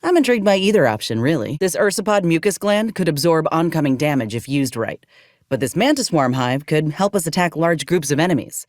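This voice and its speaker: A stubborn frontier soldier in a video game. Her voice sits low and moves freely up and down, with folksy, blunt phrasing and a dry wit. The sound is close and dry. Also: expressive, brisk, a little mellow, no room sound. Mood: sincere, calm. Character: plainspoken, stubborn woman soldier with frontier drawl. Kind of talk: video game character dialogue.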